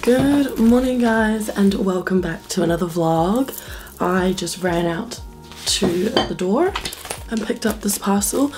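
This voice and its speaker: A young woman in her twenties with a New Zealand accent, chatting casually to camera in a vlog, her voice croaky from a cold. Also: medium pitch, lively intonation, slow, darkish tone, faint music behind. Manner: chatty, upbeat, lots of "like" and "um". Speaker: vlogger. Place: small bedroom and kitchen indoors.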